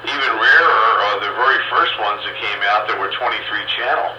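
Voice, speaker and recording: A man's voice: on the low side, brisk, dull audio. A man chatting casually as a CB radio operator, sounding distant and echoey through a noisy transmission.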